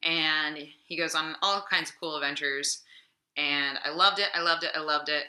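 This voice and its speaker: A woman's voice: low voice, steady tone, moderate pace, sharp tone. A young adult woman vlogger chatting casually about her week's reads, sounding a little sick and rambling with lots of fillers. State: slightly sick voice. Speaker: book vlogger. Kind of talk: casual weekly book talk. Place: home room. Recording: echoey room.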